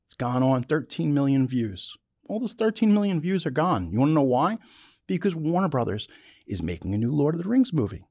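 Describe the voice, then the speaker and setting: A man's voice: on the high side, sing-song, a little fast, mellow, no room sound, clean studio sound. A man podcast host giving an opinionated, casual monologue in a blunt, emphatic, colloquial way.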